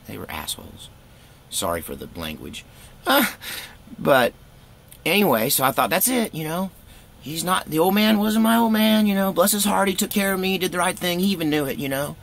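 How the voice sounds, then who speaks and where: A man's voice: high-pitched, big pitch swings, a little fast, vocal fry, a bit bright. A middle-aged American man telling a personal story casually, in a candid, folksy, rambling way.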